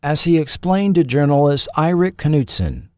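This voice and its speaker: A man narrating a scripted documentary in a measured, formal storytelling manner. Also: a little high, very animated, no echo, clean studio sound. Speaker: podcast host and narrator.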